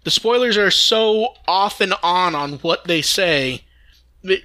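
A man's voice: high-pitched, expressive, slow, pushed and bright. A man hosting a fan podcast, talking casually in informal discussion. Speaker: podcast host.

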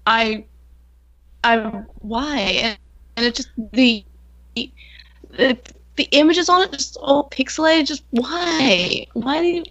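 Slow, halting woman on a podcast call. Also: high-pitched, creaky, a bit bright, lo-fi sound. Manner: halting, stumbling over words. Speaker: podcast co-host. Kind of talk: casual podcast chat. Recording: online call breaking up at times.

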